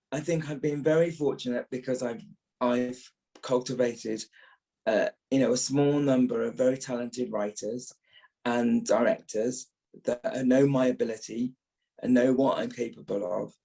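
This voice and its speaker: Guy in his 50s, gravelly voice, thoughtful interview chat, repeats stuff for emphasis. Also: medium pitch, steady tone, unhurried, warm tone, low-quality recording. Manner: emphatic, repeats phrases for stress. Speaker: actor, interview guest. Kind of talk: reflective interview talk.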